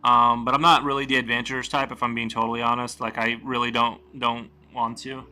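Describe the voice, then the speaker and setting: A man streaming while he draws, chatting casually in a rambling, relaxed way with lots of fillers. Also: medium pitch, a little fast, soft background music. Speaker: streamer and artist. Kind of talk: casual live-stream chat while drawing.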